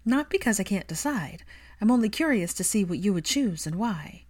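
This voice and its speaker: A woman voicing an articulate young woman who is thoughtful, precise and gently teasing. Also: medium pitch, expressive, moderate pace, pitched above the performer's own voice, lightly acted. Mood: curious, frank.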